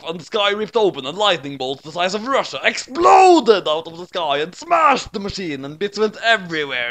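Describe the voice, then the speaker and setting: Overdramatic man with very animated storytelling. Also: high-pitched. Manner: overdramatic, emphatic, theatrical. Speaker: reader of fan fiction. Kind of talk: hammy comic narration of a story.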